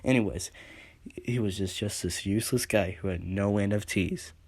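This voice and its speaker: A man's voice: lowish voice, normal speed, slightly rough, no echo. A man narrating a scripted comic story for a homemade radio show.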